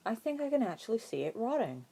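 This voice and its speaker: A gruff man voiced by a female narrator in an audiobook story. He is sardonic and blunt, with a growling, protective edge. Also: fairly high pitch, sing-song, fast talker, mellow, pitched above the performer's own voice, full character voice.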